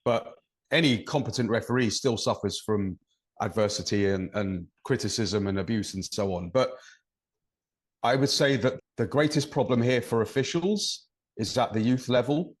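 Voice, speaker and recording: A man's voice: mid-range voice, normal speed, breathy edge. An English man, a referee and podcast co-host, giving long thoughtful explanations in casual conversation. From England. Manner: thoughtful, explains at length with examples.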